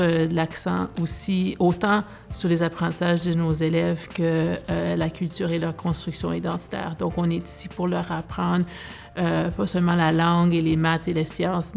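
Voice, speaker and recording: A woman, explaining in a measured way in a documentary interview. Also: fairly low pitch, monotone, gravelly, darkish tone, faint music behind.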